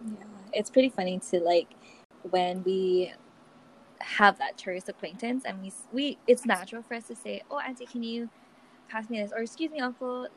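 A young woman from Hawaii co-hosting a podcast over a video call, joking and giggling through casual talk. Her voice sits at a middling pitch. There is a slight noise floor beneath it. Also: moderate pace, fairly bright tone, low-quality recording. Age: 20s. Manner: playful, giggly, joking asides. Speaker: podcast co-host. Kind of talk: casual chat.

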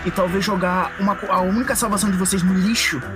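A blunt future adventurer played by a woman argues rapidly in an audio roleplay. The delivery is casual and emphatic, with clear music in the background. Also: medium pitch, fairly even intonation, lightly acted. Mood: exasperated, reasoning. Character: Nao, blunt, reasoning cowboy companion from the future. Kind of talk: tabletop roleplay in character.

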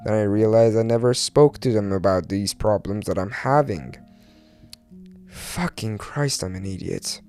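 A man's voice: on the low side, unhurried, a little mellow, faint music behind. A man from Iran who later moved to Turkey talks alone as a podcast host in a rambling, confessional way, hesitant and self-deprecating, swearing casually.

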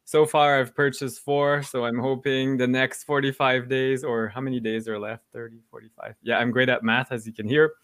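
A man's voice: medium pitch, level tone, moderate pace, few pauses, fairly bright tone, no echo, clean studio sound. A man hosting a casual interview, joking and poking fun at himself. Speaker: podcast host.

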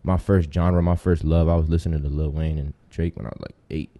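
Podcast guest: American male, early twenties, low mellow voice, loose slangy storytelling. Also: fairly even intonation, fairly quick. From Tampa, Florida, United States. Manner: slangy, informal street talk. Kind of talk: casual chat, storytelling.

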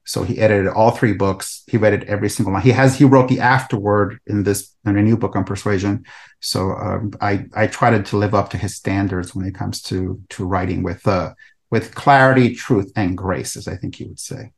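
A middle-aged man from El Salvador speaks as an interview guest, his voice sitting a bit low. He answers thoughtfully and in a conversational tone, and his phrases often fade away softly at the end. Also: fairly even intonation, moderate pace, vocal fry. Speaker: author and researcher guest.

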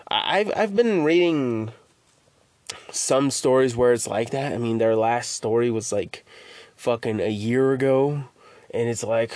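A man's voice: mid-range voice, no echo. A man hosting his own podcast in casual solo chat, rambling and stammering, swearing freely as he talks. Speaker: podcast host.

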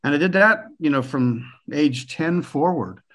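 A man's voice: fairly high pitch, lively intonation, measured pace, fairly bright tone. Older American man telling stories. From Pennsylvania, USA. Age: 60s. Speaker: guest. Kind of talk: relaxed conversational storytelling.

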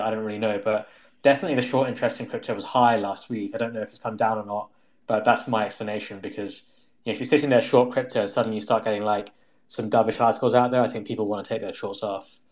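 A man's voice: on the low side, steady tone, quick pace, darkish tone. A man commenting on markets in a casual, explanatory way, often hedging and feeling his way through points.

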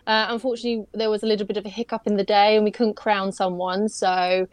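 A woman's voice: medium pitch, little pitch movement, fast talker, no room sound. A young woman, a pageant stylist, chatting casually on a video call podcast, rambling with lots of fillers and restarts.

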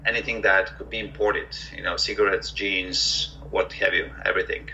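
Low, bright-toned man from Bulgaria. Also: level tone, normal speed, highs cut off, slight background noise. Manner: hesitant, many ums and uhs, reflective. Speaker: entrepreneur guest. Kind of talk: conversational interview.

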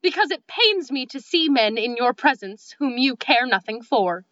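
Female reader as haughty, severe gentleman suitor, formal and declamatory, bright tone, lo-fi. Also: high voice, expressive, normal speed, no echo. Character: proud, severe, self-important male suitor. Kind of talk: cold-read comedic stage play. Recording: dull, dry lo-fi sound.

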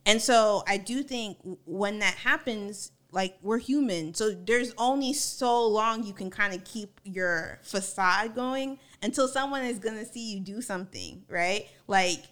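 A woman's voice: medium pitch, a little slow, few pauses, fairly bright tone. A woman co-hosting a casual faith discussion, reflecting and building her points as lists.

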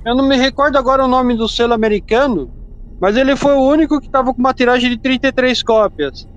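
A man's voice: extremely high pitch, steady tone, fast talker, highs cut off. A man co-hosting a podcast, chatting casually and adding side remarks. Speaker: podcast co-host. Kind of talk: casual interview chat.

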